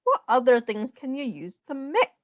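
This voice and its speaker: A woman's voice: high-pitched, wildly swooping pitch, moderate pace, no echo. A woman reading a children's picture book aloud in a sing-song way, as a teacher speaking simply to young children.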